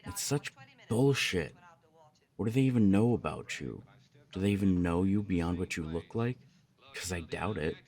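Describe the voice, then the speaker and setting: A man playing a jealous, lovesick young punk roommate in an ASMR roleplay audio drama, speaking in a halting, confessional way with swearing. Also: sits low, expressive, a little mellow. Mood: jealous, indignant.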